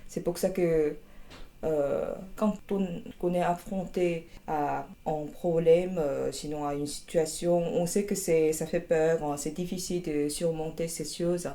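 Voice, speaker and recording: Young Korean woman, Korean accent, deep mellow tone, hesitant and wry interviewee. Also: steady tone, a little slow. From South Korea. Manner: hesitant, many fillers and restarts, wry humor. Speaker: interviewee, master's student. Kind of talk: interview answers.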